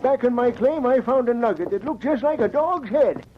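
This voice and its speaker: An actor playing a brash gold prospector in an old radio adventure, talking in folksy frontier slang. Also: male voice, very high voice, lively intonation, moderate pace. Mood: grateful, hearty. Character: brash, grateful gold prospector just rescued from drowning. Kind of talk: vintage radio adventure acting. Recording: dull, noisy old radio recording.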